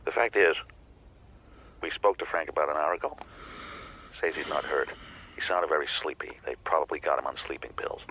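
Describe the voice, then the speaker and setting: An actor playing a guarded private detective in a radio drama, giving terse, cautious answers. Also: male voice, a bass voice, a little fast, long pauses, bright, forceful tone, a little hiss or hum, full character voice, low-quality recording. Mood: cautious, reluctant.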